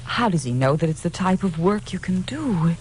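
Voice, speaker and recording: An actress playing a sick, anxious, devoted wife in a vintage radio drama, speaking tenderly and with worry. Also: female voice, lowish voice, lively intonation, moderate pace, natural voice. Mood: worried, doubtful. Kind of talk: 1940s-50s radio detective drama acting. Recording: noisy vintage radio recording.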